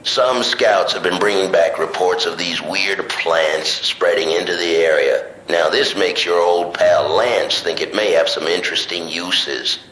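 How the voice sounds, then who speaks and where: An actor playing a chummy, curious tinkerer in a video game, with a folksy way of talking. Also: male voice, sits low, steady tone, unhurried, hardly any pauses, fairly bright tone, echoey room, faint music behind, rough recording. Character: chummy, curious tinkerer man who experiments with strange samples. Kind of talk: scripted video game dialogue.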